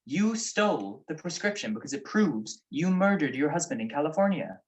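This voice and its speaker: A man's voice: a little high, big pitch swings, moderate pace, dull audio. A man playing a shrewd, wry married private detective in a 1940s-style radio drama. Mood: wry, accusing. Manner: wry, teasing.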